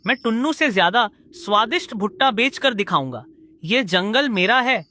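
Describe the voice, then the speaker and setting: Man voicing a bright, high-pitched scheming crow. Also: expressive, moderate pace. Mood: scheming, boastful. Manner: boastful, declamatory. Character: jealous scheming male crow villain, boastful. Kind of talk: Hindi kids' cartoon dialogue.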